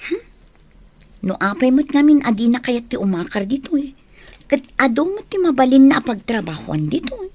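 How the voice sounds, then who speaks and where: A woman's voice: sits high, very animated, moderate pace, a little mellow, slight background noise. A woman with a Filipino accent playing a nosy, teasing young office confidante in a radio drama. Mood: curious.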